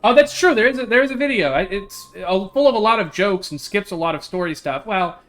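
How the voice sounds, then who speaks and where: A man's voice: sits high, expressive, brisk, a bit bright. A man livestreaming a game, chatting casually in a jokey, slangy way and reading its text aloud. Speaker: game streamer.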